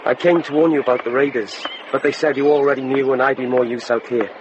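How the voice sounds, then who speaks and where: A man's voice: mid-range voice, fairly even intonation, highs cut off, close to an everyday voice. An actor playing an earnest, awkward young man in a radio drama, hesitant and apologetic. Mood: nervous, hopeful. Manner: hesitant, halting. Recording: loud noisy vehicle-like ambience behind.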